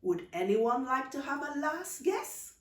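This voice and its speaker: A woman's voice: high-pitched, sing-song, measured pace, voice pushed up. A woman voicing an exuberant, teasing aunt in a storybook, playful and commanding, with dialect phrasing. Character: exuberant, teasing adult aunt who loves Christmas. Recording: roomy, distant microphone.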